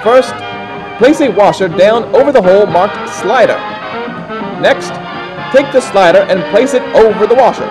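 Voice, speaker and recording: High-voiced male instructional narrator over music. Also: lively intonation, low-quality recording. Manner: deliberate, folksy step-by-step delivery. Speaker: instructor narrating a how-to film.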